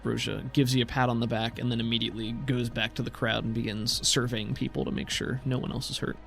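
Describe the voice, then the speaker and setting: A man's voice: mid-range voice, flat delivery, fast talker, vocal fry, a bit bright, soft background music. A man narrating a tabletop game as game master, descriptive and conversational.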